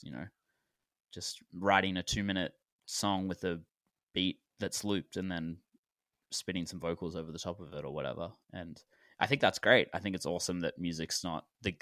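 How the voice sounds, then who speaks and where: Twenties male musician, low voice, loose and rambling, dry close sound. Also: no echo. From Australia. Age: 20s. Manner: relaxed, rambling with many fillers. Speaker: musician guest. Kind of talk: casual interview chat.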